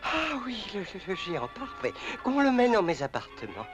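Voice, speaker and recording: A man's voice: sits high, wildly swooping pitch, normal speed, dull audio. Pompous, flustered little cartoon king. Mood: haughty, hesitant. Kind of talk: 1930s animated film dub. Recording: old film soundtrack with music behind.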